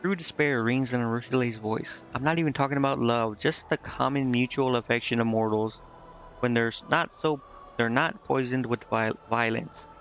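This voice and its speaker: A man with a medium-pitched voice streams a game in a casual way. He reads dialogue aloud and comments on it, hesitating and tripping over words. The sound is a little dull, with faint music underneath. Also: normal speed, a bit bright. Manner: hesitant, stumbles over words while reading. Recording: slightly muffled, music behind.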